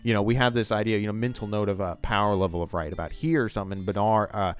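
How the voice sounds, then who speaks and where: A man's voice: on the low side, steady tone, fast talker, faint music behind. A man giving enthusiastic, rambling commentary on a TV scene as the host of a video essay.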